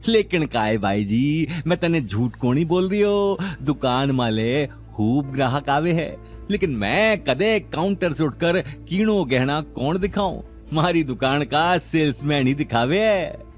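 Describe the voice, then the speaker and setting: Man playing a grumbling Rajasthani shopkeeper, higher-pitched. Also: animated, measured pace, faint music behind. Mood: grumbling sales talk. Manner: folksy, wheedling, grumbling dialect speech.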